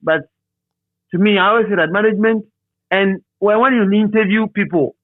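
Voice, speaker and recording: A middle-aged man with a Ghanaian accent speaks in a slightly high voice over a narrow, phone-like line. He reminisces casually, repeating phrases to drive a point home. The sound is dry, with no room around it. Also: moderate pace, no echo. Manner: repeats and restates phrases for emphasis. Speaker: interviewee, radio DJ.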